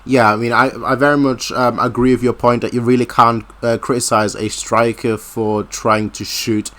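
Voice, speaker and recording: A man with a bright, forward voice at medium pitch hosts a casual football chat. He speaks hesitantly and at some length, qualifying and rewording as he goes. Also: flat delivery, normal speed. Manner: hesitant, wordy, hedges and rephrases his questions. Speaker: host, interviewer.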